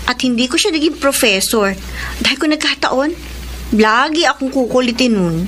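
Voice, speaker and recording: A woman's voice: high voice, animated, moderate pace, a bit bright, slight background noise. An actress playing a firm, principled young female student in a radio drama, speaking emphatically and expressively. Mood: troubled, confiding.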